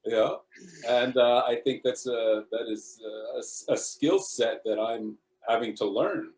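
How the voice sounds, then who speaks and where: A man speaking as an actor on a panel, reflective and measured, correcting himself as he goes. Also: mid-range voice, darkish tone.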